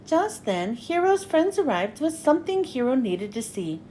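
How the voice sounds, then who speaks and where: Woman, high voice, lively swooping intonation, children's read-aloud, expressive, faint rumbling noise. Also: moderate pace. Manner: expressive, dramatic with character lines. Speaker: children's book reader. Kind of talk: animated read-aloud storytelling for kids.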